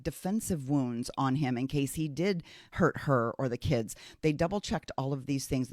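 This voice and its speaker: A man's voice: medium pitch, darkish tone, dry recording, studio quality. A man giving casual, opinionated true crime commentary in an emphatic, conversational way, repeating phrases for stress.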